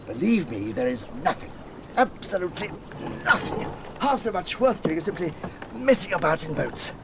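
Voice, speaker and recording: An actor playing a jovial, dreamy, gentlemanly water rat who relishes every word in a radio play. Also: male voice, high-pitched, very animated, moderate pace. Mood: dreamy, enthusiastic. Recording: noisy old radio broadcast tape.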